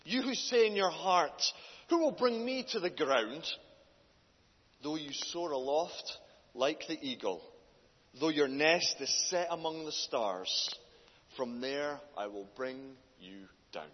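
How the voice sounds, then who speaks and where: Loud man preaching in a hall. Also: fairly high pitch, animated, slow. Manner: loud, earnest, builds in force when reading. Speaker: guest preacher. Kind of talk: church talk and scripture reading. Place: church hall. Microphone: handheld mic. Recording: dull distant hall recording.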